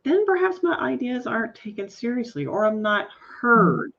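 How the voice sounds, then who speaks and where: A woman with a Southern American accent hosting a career chat, speaking deliberately in a low, somewhat nasal tone. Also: sing-song, vocal fry, a bit bright, lo-fi sound. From Southern United States. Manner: deliberate, carefully enunciated speech. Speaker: career coach, host. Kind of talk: casual chat.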